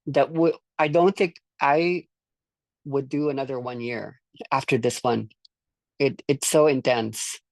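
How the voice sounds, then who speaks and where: A course leader from the Philippines speaking reflectively to a group in a halting, thoughtful way, often repeating words. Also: medium pitch, vocal fry, a little mellow, dry recording. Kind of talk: reflective group discussion talk.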